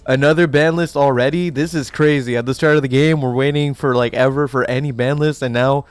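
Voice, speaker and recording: A young man hosting a gaming channel, chatting in a rambling, excitable way while sick with a cough, with a mic set close to him. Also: mid-range voice, fairly quick, smooth, no room sound. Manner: excitable, rambling, swears casually. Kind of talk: casual reaction commentary. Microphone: desk mic set too close.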